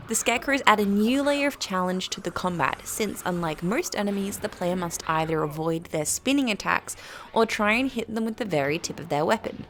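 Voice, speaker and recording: A woman with a British accent narrates a scripted video game critique. Her pitch is average and her delivery is measured and articulate. There is faint noise behind her voice.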